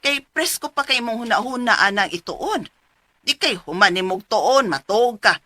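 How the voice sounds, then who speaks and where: A bright-voiced, middle-aged mother in a radio drama. She nags and exclaims with concern, her pitch swinging widely, recorded close and dry. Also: animated, normal speed, no echo. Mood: coaxing. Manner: nagging, exclamatory. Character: concerned, scolding mother of a college student.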